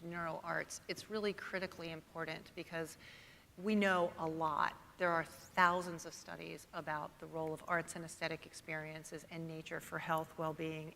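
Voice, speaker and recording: Gravelly low woman in a big hall. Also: a bit bright. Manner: conversational with fillers, asks guests questions. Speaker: panel moderator. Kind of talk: conference panel discussion. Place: large conference hall stage. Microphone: stage microphone. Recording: reverberant hall, faint ambient noise.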